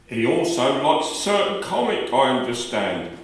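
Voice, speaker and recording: Radio drama: actor as courteous, kindly male neighbour, lively intonation, echoey distant sound. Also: medium pitch, normal speed. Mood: curious. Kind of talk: radio play acting. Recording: echoey room, far from mic.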